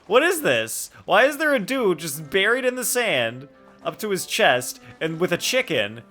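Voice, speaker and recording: A man commentating casually on a game he is streaming. His bright voice swings around expressively as he rambles and playfully questions what he sees. Faint music plays behind him. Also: mid-range voice. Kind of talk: casual live gameplay commentary, chatting with viewers.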